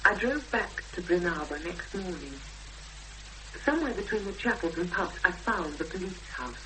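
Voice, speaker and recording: A woman's voice: fairly low pitch, animated, moderate pace, poor audio. Determined Englishwoman, terse, dry, sceptical, English accent, 1970s radio play, heavy hum. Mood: reflective, narrating. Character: determined, sceptical Englishwoman investigating her sister's disappearance. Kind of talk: 1970s radio drama acting. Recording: old radio recording, hum and loud noise.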